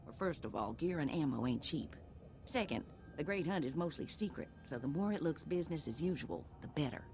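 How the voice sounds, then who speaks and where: A low-voiced, breezy bounty handler. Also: female voice, animated, fairly quick, a little mellow, soft background music. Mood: breezy, briefing. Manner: casual, folksy, businesslike. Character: breezy, worldly female bounty hunter handler. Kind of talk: video game voice acting.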